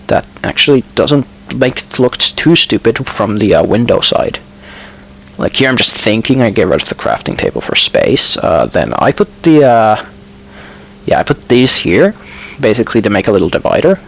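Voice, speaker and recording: Rambling Finnish man with a lively voice. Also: fairly low pitch, vocal fry, slight background noise. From Finland. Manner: rambling, hesitant, full of ums and self-corrections, with dry asides. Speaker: gamer narrating his building. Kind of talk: casual gameplay voice-over.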